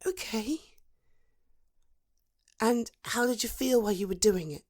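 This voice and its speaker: A British woman playing a protective, supportive female friend in a conversational ASMR roleplay, soothing and encouraging, with thoughtful pauses. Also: fairly high pitch, lively intonation, darkish tone, slightly rough. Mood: concerned, reassuring. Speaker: voice actor in an audio roleplay. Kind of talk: ASMR roleplay, conversational one-sided dialogue.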